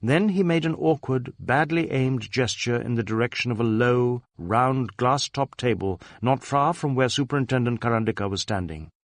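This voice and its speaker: A man narrating a detective novel as an audiobook, with measured, dramatic storytelling. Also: medium pitch, no room sound, studio quality.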